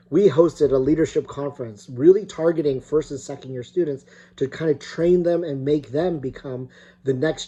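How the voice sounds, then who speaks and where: A man's voice: medium pitch, warm tone, lo-fi sound. Hesitant middle-aged man from California. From California, United States. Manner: hesitant, many fillers and restarts. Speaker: guest speaker. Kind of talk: recorded opening talk.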